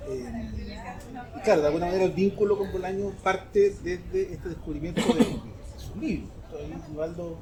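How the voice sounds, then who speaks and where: A man speaking as a guest in casual conversation, haltingly repeating phrases as he explains. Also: high-pitched, a little mellow, a faint crowd, low-quality recording. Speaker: guest, book project creator.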